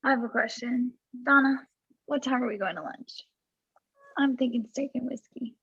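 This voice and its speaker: A sassy fun office gal, high but husky and fried, dull lofi mic. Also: female voice, normal speed, a bit bright, no room sound. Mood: sassy, playful. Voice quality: husky, creaky. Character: sassy, fun-loving female coworker. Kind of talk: comedy web series acting.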